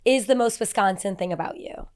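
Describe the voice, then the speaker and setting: Female podcast co-host, mid-pitched voice, casual, question-led. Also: a little fast, a little mellow. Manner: conversational, asks the guest questions. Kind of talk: casual chat.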